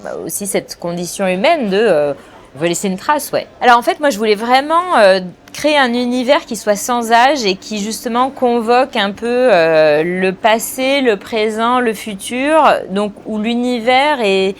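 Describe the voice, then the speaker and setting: Reflective woman director, halting speech. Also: a little high, lively intonation, a little hiss or hum. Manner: thoughtful, hesitant, frequent restarts and fillers. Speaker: film director. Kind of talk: interview answers.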